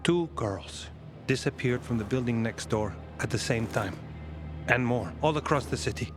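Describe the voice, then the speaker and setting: An actor playing a curious, earnest young son in a video game, telling things openly and naturally. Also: male voice, mid-range voice, normal speed, close to an everyday voice. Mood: grave. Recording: music behind the voice.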